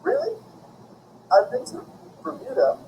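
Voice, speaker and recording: A man's voice: very high-pitched, wildly swooping pitch, measured pace, dark tone, muffled recording, a little hiss or hum, voice pushed up, full character voice. A man voicing nosy, gushing teenage American schoolmates from a storybook, asking curious questions.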